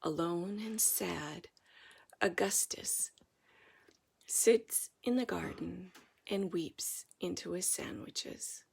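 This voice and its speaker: A woman reading a children's story aloud in an animated, dramatic storytelling manner. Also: fairly low pitch, slow, creaky, breathy edge. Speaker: storybook reader.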